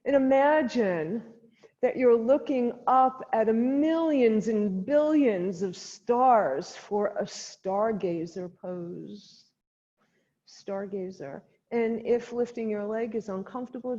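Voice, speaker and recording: A woman leading a guided chair yoga class as the instructor, giving gentle step-by-step cues with pauses, in a muffled, reverberant recording. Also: a little high. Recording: dull sound in a long-reverb hall.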